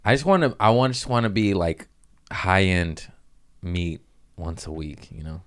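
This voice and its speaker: Man, low voice, podcast host, casual comedic chat, slangy, profane, joking. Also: lively intonation, measured pace, a bit bright, clean tone.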